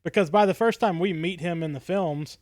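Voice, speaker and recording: A man co-hosting a podcast in casual, analytical discussion, halting and repeating words as he builds a point. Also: high-pitched, darkish tone. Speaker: podcast co-host.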